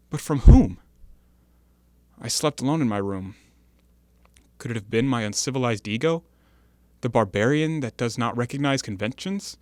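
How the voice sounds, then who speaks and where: Guy acting a tormented ex-con in an audio play, earnest and dramatic, mid pitch, really dry recording. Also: no room sound, close to an everyday voice. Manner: earnest, declamatory, formal stage diction. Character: anguished, earnest former convict tormented by guilt.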